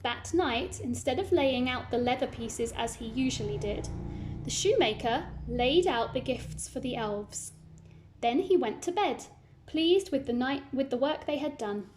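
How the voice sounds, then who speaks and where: A woman's voice: sits high, animated, measured pace, a little mellow, slight background noise. A woman reading a children's story aloud, narrating expressively and adding simple asides for young listeners.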